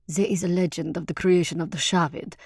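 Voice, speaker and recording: A woman voicing a big, hearty male minstrel leader with a warm, rich voice and a playful boastfulness, in an audiobook story. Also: lowish voice, steady tone, fairly quick, breathy edge, dry recording, clean studio sound. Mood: storytelling.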